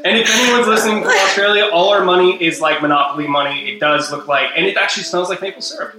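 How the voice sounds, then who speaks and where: A man's voice: high-pitched, quick pace, bright, forceful tone, echoey room, faint music behind, low-quality recording. Laughing, joking Canadian man. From Montreal, Quebec, Canada. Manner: jokey, laughs often, lots of "like". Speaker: guest. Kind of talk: casual chat.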